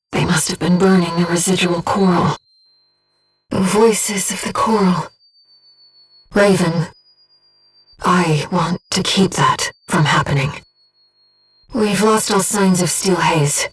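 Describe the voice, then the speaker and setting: A woman voicing a gentle, earnest disembodied guide to a mercenary pilot in a video game, with a soft, measured, composed delivery. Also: fairly low pitch, slightly rough, far from the mic, soft background music. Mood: composed briefing. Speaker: mission support operator. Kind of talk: scripted video game voice acting.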